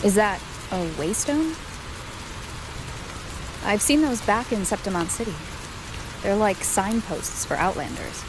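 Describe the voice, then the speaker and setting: Unhurried young heroine, calm. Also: female voice, mid-range voice, noisy background. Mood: curious. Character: young female wandering hero, calm, kind, determined. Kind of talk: video game voice acting.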